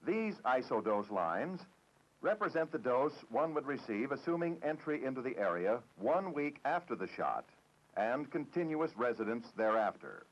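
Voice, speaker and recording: An American man reading a formal, measured, matter-of-fact script in the style of a 1960s government documentary narrator. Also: mid-range voice, big pitch swings. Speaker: training film narrator. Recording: dull, dated 1960s film soundtrack.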